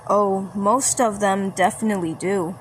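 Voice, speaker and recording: A gentle mushroom creature played by a woman speaks slowly and kindly in a fan cartoon story. The delivery is deliberate and halting, over faint noise. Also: medium pitch, steady tone, lightly acted, poor audio. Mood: fond. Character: kindly, unhurried mushroom person greeting a young guest.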